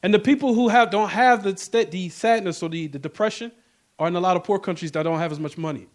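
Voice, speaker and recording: Male Islamic class teacher, notably high voice, emphatic informal lecturing with repetition and rhetorical check-ins. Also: fast talker. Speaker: religious teacher giving a class.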